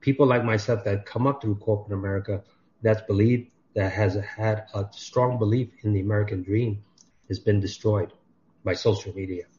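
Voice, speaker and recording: Animated storytelling man, lo-fi audio. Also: on the low side, moderate pace. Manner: animated storyteller, acts out dialogue. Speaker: podcast guest. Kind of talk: casual chat. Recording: dull lo-fi audio.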